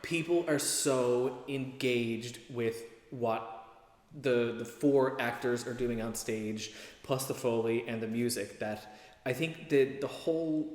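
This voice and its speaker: A man of medium pitch shares his thoughts in an informal interview manner. The recording is strongly echoey, with long reverb around the voice. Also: darkish tone. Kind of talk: casual documentary interview.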